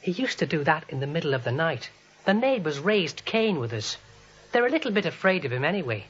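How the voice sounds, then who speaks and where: A gossipy, polite American policeman from 1940s radio comedy. He is plain-spoken, with a forceful, animated voice. The old recording sounds dull. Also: a little high, brisk, husky, full character voice. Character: respectful, gossipy neighborhood police officer.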